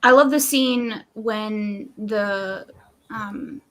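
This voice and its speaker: A woman's voice: medium pitch, very slow, a bit bright. A woman co-hosting a live readalong show, talking casually and informally about books. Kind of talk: casual book chat.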